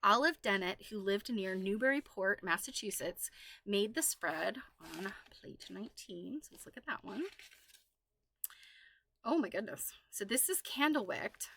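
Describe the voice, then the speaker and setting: A woman's voice: medium pitch, animated, unhurried, vocal fry, sharp tone. A woman hosting a casual livestream, chatting and reading aloud in an enthusiastic, exclaiming way. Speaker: livestream host.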